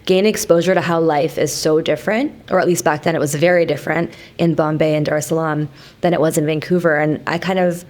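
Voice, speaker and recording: A woman from Canada in her thirties, a guest telling her story in an interview at a thoughtful, measured pace. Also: deep voice, fairly even intonation, darkish tone. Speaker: guest, company founder. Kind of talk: casual interview.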